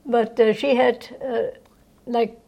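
Elderly woman from Austria, very slow halting delivery, creaky voice, reminiscing, interviewee. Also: fairly high pitch, flat delivery, muffled recording. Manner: halting, searching for words. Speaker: interviewee recounting life history. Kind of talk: unscripted reminiscence. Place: indoors in own home.

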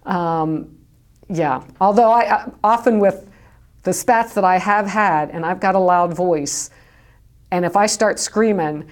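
Lady talking about her sled dogs, kinda low voice, lots of ums and restarts. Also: a little slow. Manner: chatty, many fillers and restarts. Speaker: dog kennel owner, guest. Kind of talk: casual recorded interview. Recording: home tape recording.

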